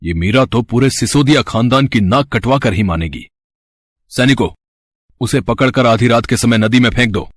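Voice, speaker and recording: An actor playing a stern, scheming royal brother-in-law in a storybook drama, commanding and indignant. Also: male voice, mid-range voice, moderate pace, dry recording, clean studio sound, natural voice. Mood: furious. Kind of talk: acted devotional storybook drama.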